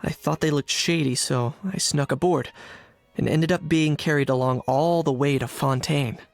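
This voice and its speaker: A gravelly, inquisitive sleuth. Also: male voice, fairly high pitch, steady tone, normal speed, soft background music. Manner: thoughtful, inquisitive inner monologue. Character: shrewd young man investigating smugglers. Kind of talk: game narration and monologue.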